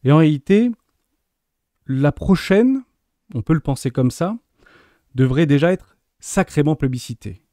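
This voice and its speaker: A man's voice: on the high side, animated, a little slow, long pauses, mellow, no echo, clean studio sound. A man hosting a video interview, reading a formal introduction and asking questions with enthusiasm. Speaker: host.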